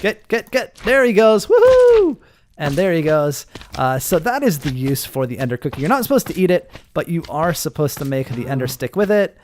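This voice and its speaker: Man with a very lively, swooping delivery. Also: on the high side, normal speed, a little hiss or hum. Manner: excitable, playful, swooping. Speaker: gaming video host. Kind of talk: casual Minecraft roleplay commentary.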